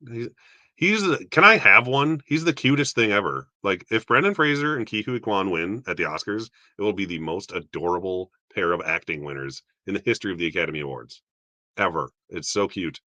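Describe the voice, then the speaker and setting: Man, very deep voice, bright forceful tone, dry close sound, casual rambling awards chat. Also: lively intonation, a little fast, no room sound. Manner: rambling, self-deprecating, chuckling. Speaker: YouTube film awards commentator. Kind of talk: casual solo commentary.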